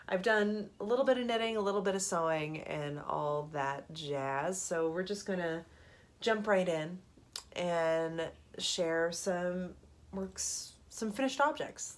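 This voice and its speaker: A woman in her thirties with a head cold, chatting casually to camera from a small apartment studio. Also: deep voice. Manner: chatty, rambling, with many ums and little laughs. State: congested from a cold. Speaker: knitting podcast host.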